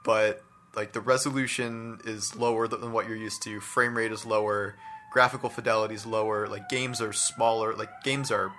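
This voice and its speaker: A man's voice: fairly low pitch, level tone, normal speed, sharp tone, slight background noise. A man talking casually and giving his opinions in a discussion about his hobby, peppering his speech with "like" and "you know". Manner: conversational, hedging with "like" and "you know". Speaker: podcast co-host discussing video games.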